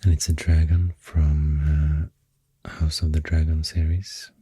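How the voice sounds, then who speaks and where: A man with a Swedish accent rambles in soft-spoken, near-whispered ASMR, gentle and unhurried, close to the microphone. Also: level tone, no room sound. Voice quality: breathy, near-whispered deep voice. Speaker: ASMR video host.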